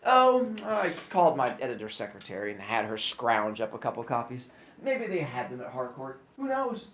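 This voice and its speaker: A man's voice: medium pitch, wildly swooping pitch, moderate pace, fairly bright tone, echoey room. A man voicing a casual, offhand woman talking on the phone. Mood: breezy.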